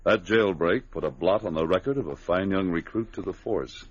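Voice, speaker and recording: A man playing a stalwart Mountie sergeant in an old radio adventure serial, earnest, measured and authoritative. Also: low voice, slightly rough. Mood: solemn narrating. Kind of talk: 1940s-50s radio adventure acting. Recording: dull lo-fi vintage radio recording.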